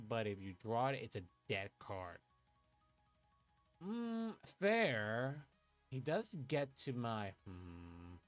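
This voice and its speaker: A young man from Puerto Rico, chatting casually on a livestream while he plays a card game, thinking aloud, swearing and muttering to himself. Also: medium pitch. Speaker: streamer playing an online card game. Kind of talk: casual livestream chat and play commentary.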